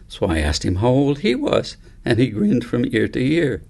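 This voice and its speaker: A man's voice: mid-range voice, wildly swooping pitch, normal speed, gravelly, a little mellow, heavily acted. A man voicing an earnest, precocious five-year-old girl in a storybook, telling her dreams in articulate, wordy sentences. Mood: eager. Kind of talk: storybook character reading.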